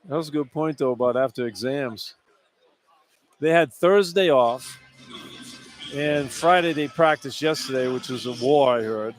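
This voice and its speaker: A man's voice: mid-range voice, measured pace. A man giving colloquial, opinionated color analysis during a live radio basketball broadcast from an indoor arena. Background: clear arena noise.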